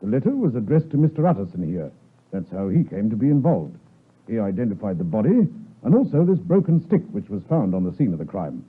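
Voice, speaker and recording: An officious British police inspector in a vintage radio play. He talks fast with a rough, husky edge, courteous yet insistent. The sound is thin and lo-fi, like an old broadcast. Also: on the low side, big pitch swings. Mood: brisk official. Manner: formal, polite but insistent. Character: brisk, officious male Scotland Yard police inspector. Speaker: radio drama actor. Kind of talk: 1950s radio drama acting. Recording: muffled, lo-fi 1950s radio broadcast.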